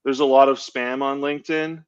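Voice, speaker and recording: A man's voice: mid-range voice, little pitch movement, moderate pace, smooth, no echo, dull audio. A male podcast co-host, chatting enthusiastically on a video call and rambling through fillers and restarts. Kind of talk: casual podcast chat.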